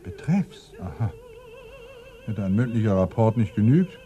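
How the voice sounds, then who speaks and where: A sardonic police inspector in a crime radio drama. The voice is lowish, dark and husky, with lively inflection. He is impatient, brusque and drily ironic. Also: measured pace, muffled recording. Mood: sarcastic, impatient. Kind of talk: German radio play acting.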